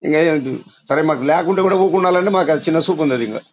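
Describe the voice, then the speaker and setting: A high-pitched man with a Rayalaseema Telugu accent talks extremely fast over a muffled phone recording. His speech is rustic and emphatic, the talk of someone airing grievances in a candid conversation. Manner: emphatic, complaining, colloquial rural speech. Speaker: local party leader being surveyed by phone. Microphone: telephone. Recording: muffled lo-fi leaked phone call.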